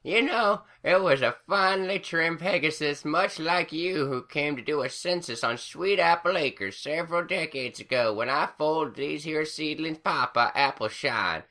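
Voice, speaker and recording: A man's voice: a little high, normal speed, hardly any pauses, sharp tone, pitched above the performer's own voice. Man doing a cackling old grandmother mare. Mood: fond. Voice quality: cracking old-lady voice with laughs. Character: elderly farm mare grandmother, folksy, fond.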